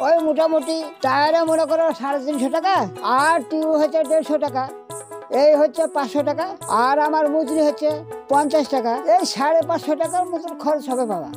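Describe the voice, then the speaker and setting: A high-piping roadside cycle uncle in a village drama, explaining firmly over background music, acted by a man. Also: fairly even intonation, a little fast. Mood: matter-of-fact. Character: older village bicycle repairman, matter-of-fact and firm. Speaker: actor. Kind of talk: amateur family drama acting.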